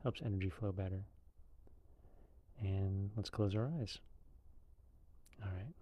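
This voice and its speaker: A man leading a guided meditation in a calm, unhurried, loosely conversational way. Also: deep voice.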